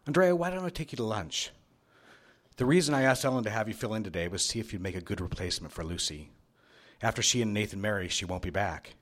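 An actor playing a smooth, patronizing boss who flirts with his secretary, authoritative and teasing, in a live radio drama. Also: fairly low pitch, expressive, fast talker, a bit bright. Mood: smooth, inviting. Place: theater stage, live show.

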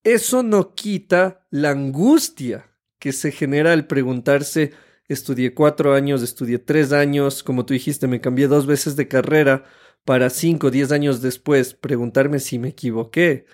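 A man's voice: mid-range voice, a little slow, a little mellow. A man in his thirties with an Ecuadorian Spanish accent, co-hosting a conversational podcast. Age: 30s. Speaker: podcast co-host.

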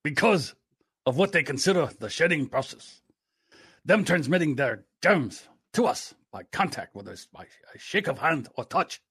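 A man doing an as an old, calm martial arts teacher, speaking slowly and deliberately. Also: fairly high pitch, husky, no room sound, clean studio sound, full character voice. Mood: solemn.

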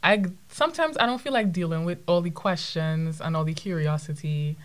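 Young woman, candid and quick. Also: fairly low pitch. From Trinidad and Tobago. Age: young adult. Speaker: podcast guest. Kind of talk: casual chat.

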